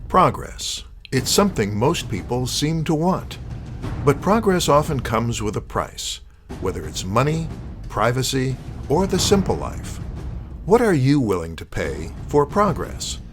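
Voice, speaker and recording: A man's voice: mid-range voice, sing-song, a little slow. A man hosting an audio drama show, delivering a scripted introduction. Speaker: host. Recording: music under the voice. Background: music.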